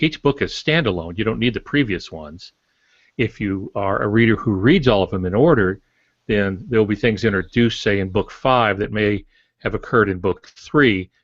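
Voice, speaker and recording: A man's voice: lowish voice, no room sound. An older man, chatting casually about books as a podcast co-host. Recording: dull lo-fi podcast audio.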